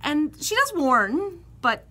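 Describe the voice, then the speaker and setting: A woman's voice: high-pitched, big pitch swings, takes it slowly, bright, forceful tone. A Canadian woman co-hosting a knitting podcast, chatting casually and laughing often as she tells stories. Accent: Western Canadian. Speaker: podcast co-host. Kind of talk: casual chat.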